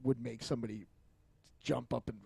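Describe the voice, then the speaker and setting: A man's voice: medium pitch, steady tone, normal speed, vocal fry, a little mellow, husky. A man co-hosting a podcast in casual, rambling, profane and joking chat. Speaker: podcast co-host.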